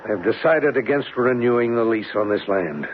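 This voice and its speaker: An older American actor playing a gruff, impatient veteran oil boss in a radio drama, grumbling and blunt. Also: male voice, medium pitch, animated, moderate pace, lightly acted. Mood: exasperated. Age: older, around 60. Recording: dull lo-fi old radio recording, faint noise.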